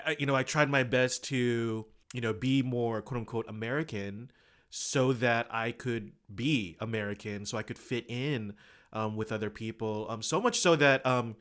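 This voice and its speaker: A man from Indonesia hosting a podcast, talking in a halting, heartfelt way. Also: medium pitch, clear, resonant tone. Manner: halting, many fillers and restarts, heartfelt. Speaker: podcast host. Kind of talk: podcast conversation.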